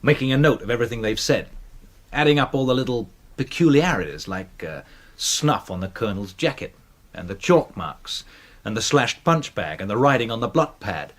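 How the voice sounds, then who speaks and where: A dogged man working out a murder in a radio drama. His voice is medium in pitch and swings widely with emphasis. He is blunt, halting and openly incredulous. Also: sing-song, fairly bright tone. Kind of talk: radio mystery drama acting.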